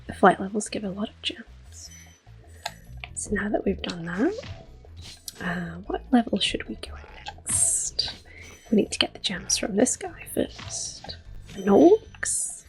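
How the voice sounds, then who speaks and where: A woman in her thirties giving soft-spoken ASMR gameplay commentary, often slipping into a whisper, close to the mic. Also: mid-range voice, big pitch swings, slow pace, over music. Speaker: ASMR gaming channel host.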